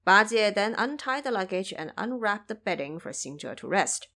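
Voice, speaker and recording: Female narrator for an audio drama, expressive scripted storytelling, mid pitch with animated movement. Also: moderate pace.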